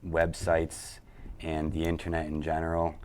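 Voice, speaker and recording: Man, very deep voice, friendly chat, light noise. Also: completely flat delivery, measured pace, a little mellow. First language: Italian. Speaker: web design company partner. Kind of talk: casual interview talk.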